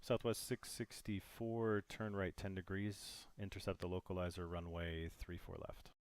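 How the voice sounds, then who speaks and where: A man with a very deep voice plays air traffic controller, issuing headings, speeds and clearances in measured, formal radio phrasing. His voice sounds close and dry. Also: flat delivery, no echo.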